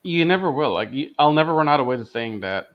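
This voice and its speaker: A man's voice: fairly high pitch, expressive, quick pace. A man speaking emphatically and enthusiastically as a panelist in a casual podcast review discussion. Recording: dull, lo-fi microphone audio.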